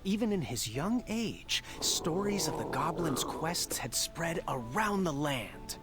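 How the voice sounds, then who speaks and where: Expressive, flustered young adventurer. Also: male voice, on the high side, slow pace, over loud music. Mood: earnest storytelling. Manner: hesitant, fumbling for words. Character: earnest, flustered young male adventurer improvising stories. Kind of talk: animated adventure game acting.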